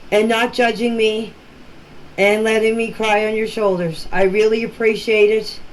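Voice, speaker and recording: A woman speaks in a plain, conversational way at a medium pitch, as in a casual voice message. The recording is homemade, with faint noise behind her. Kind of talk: casual spoken voice messages to a group chat. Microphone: voice clips recorded for a group chat. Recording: noisy amateur voice-message recording.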